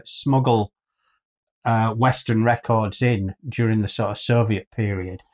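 A man's voice: mid-range voice, a little mellow. A man in his fifties with a British accent, chatting casually and enthusiastically as a co-host, rambling along. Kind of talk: casual chat. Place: small furnished room, indoors.

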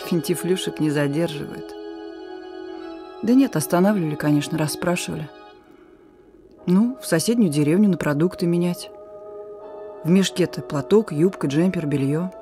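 An actress playing a plucky young partisan courier in a radio drama, speaking in a familiar, teasing, warm-hearted way. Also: female voice, fairly low pitch, moderate pace, pauses often, over music, natural voice. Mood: frank, subdued. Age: young adult.

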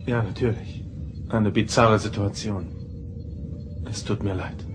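A man's voice: fairly low pitch, unhurried, over music, close to an everyday voice. A man playing a concerned, subdued starship first officer in a German radio play. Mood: subdued, sad.

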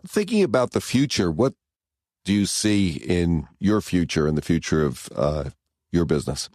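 A man with a very low voice hosts a podcast, putting questions to his guest in a measured, plain manner. He is a criminal defense lawyer. The recording is dry and close, with no room sound. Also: big pitch swings, darkish tone, no echo. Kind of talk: interview questions.

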